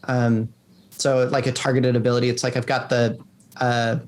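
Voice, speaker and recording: A man talking casually as an interviewed game designer, in an informal, halting way with lots of filler words. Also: mid-range voice, flat delivery, a little mellow.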